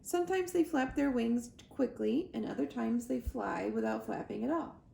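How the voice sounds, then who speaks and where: A woman voicing a protective robot mother in a children's storybook, speaking calmly with formal, precise phrasing. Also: fairly high pitch, normal speed. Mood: instructive. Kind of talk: children's storybook read-aloud. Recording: roomy echo, clear background noise.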